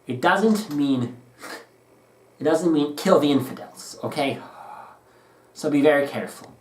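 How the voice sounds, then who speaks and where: A man's voice: on the high side, distant mic, a little hiss or hum. A man giving an unscripted, opinionated commentary for his channel, earnest and frequently stumbling over and restarting his phrases. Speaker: political commentary vlogger. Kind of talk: unscripted opinion monologue to camera. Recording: roomy sound, speaker away from the mic.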